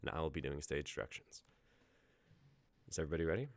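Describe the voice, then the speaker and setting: A man's voice: rumbling low, fast talker, dry recording. A man reading out the stage directions of a script he wrote.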